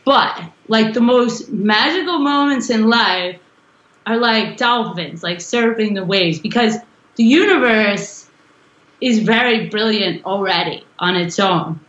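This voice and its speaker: A woman's voice: mid-range voice, slow, fairly bright tone, echoey room, lo-fi sound. A woman guest on a podcast interview, chatting casually in a rambling, giggly way with a strong voice. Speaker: musician guest.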